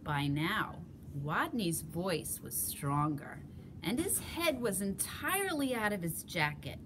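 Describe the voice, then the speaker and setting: A woman teacher reading a picture book aloud, telling it slowly and expressively. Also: medium pitch, background noise. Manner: slow, expressive storytelling.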